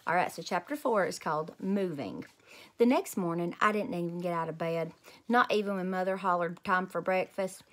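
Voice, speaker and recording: A woman reading a story aloud to children in an expressive, teacherly style. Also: fairly low pitch, fairly quick.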